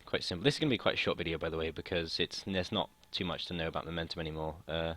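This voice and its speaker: A young man from the United Kingdom explaining a physics lesson in a casual way, his voice slightly croaky from long talking, often hesitating and restarting sentences. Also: low-pitched, steady tone, racing, a bit bright, no echo. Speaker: student tutor.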